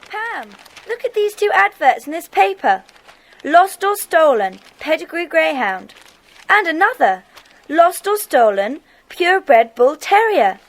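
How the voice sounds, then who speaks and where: An excitable, kind-hearted schoolgirl in a children's drama. She has a very high, animated voice and speaks earnestly, full of exclamation. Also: unhurried, heavily acted. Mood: excited discovery. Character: tender-hearted, excitable schoolgirl club member. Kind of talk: children's audio drama acting.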